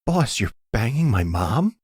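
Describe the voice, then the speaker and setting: A man's voice: mid-range voice, big pitch swings, measured pace, a little mellow, husky, dry recording, clean studio sound, voice pushed up. A man voicing an indignant, whiny young man, a mobster's underling, in an audio roleplay. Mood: outraged.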